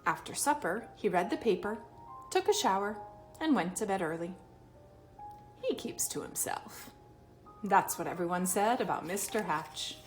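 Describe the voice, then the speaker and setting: Animated woman reading a children's story. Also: mid-range voice, faint music behind. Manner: animated, dramatic emphasis and pauses. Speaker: read-aloud storyteller. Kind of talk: expressive children's picture book read-aloud.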